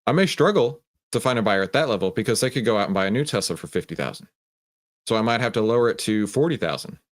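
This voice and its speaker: Low-pitched man hosting a live trading stream. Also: sing-song, quick pace. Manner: conversational, often tags statements with "right?"; emphatic, explanatory, poses questions and answers them. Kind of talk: casual live market commentary, chatting with viewers.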